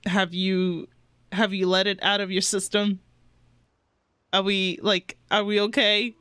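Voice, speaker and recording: A woman doing casual, playful live reaction commentary as a game streamer, expressive and giggly. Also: medium pitch, a little slow, clear, resonant tone, no room sound. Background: game music.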